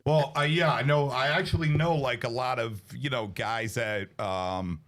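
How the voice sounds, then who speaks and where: A man's voice: mid-range voice, unhurried, fairly bright tone. A man hosting a podcast in a casual, slangy way, wandering through informal questions in a conversational interview. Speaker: podcast host.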